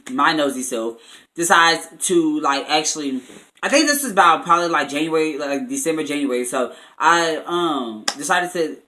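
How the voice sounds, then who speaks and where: A man in his twenties with an American accent, telling a story to his viewers in a casual, chatty, animated and slangy way, as a vlogger. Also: medium pitch. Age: 20s. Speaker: YouTube vlogger.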